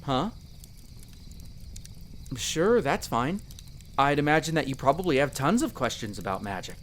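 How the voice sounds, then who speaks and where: A man's voice: fairly high pitch, big pitch swings, unhurried, a little hiss or hum. A man voicing a friendly, eager young elf explorer in a roleplay audio drama, chatting playfully and explaining patiently to the listener. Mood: cheerful, eager. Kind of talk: in-character conversational roleplay addressed to the listener.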